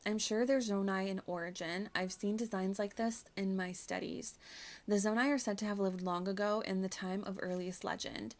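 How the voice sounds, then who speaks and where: An earnest young princess from a video game, read aloud by a woman, speaks at a middle pitch. She is studious and formal, a scholar exploring ancient ruins. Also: steady tone, moderate pace, natural voice.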